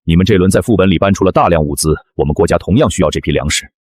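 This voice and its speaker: Foreign general, low husky voice, formal, authoritative, demanding, animated drama. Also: male voice, rapid-fire, no room sound, studio quality. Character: foreign male general pressing hard demands.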